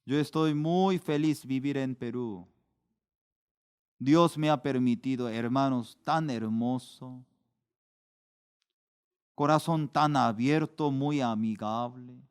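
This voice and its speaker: A middle-aged man preaches at a slow, measured pace. His voice is smooth and resonant, and it jumps higher and louder when he stresses a point. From Korea. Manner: slow, deliberate preaching with sudden rises in pitch and volume for emphasis. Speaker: pastor. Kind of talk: sermon.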